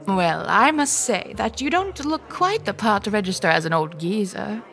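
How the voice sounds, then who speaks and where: Anxious, sardonic young heroine played by a woman, mid-pitched, wry and halting first-person drama narration, music underneath. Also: expressive, gravelly, a bit bright. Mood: sardonic, bantering.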